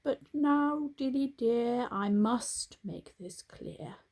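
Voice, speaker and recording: A kindly but blunt donkey owner from a storybook, talking down gently to his animal, as a woman puts him on. The delivery is slow and husky, with gravelly texture. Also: on the high side, expressive, pitched above the performer's own voice, exaggerated voice. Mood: gentle.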